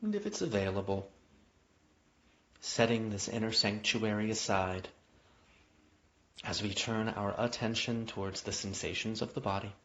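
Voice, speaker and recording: A man guiding a yoga nidra meditation, speaking in gentle, invitational phrases with long pauses. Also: on the low side, expressive. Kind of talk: guided meditation narration. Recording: dull, muffled lo-fi audio.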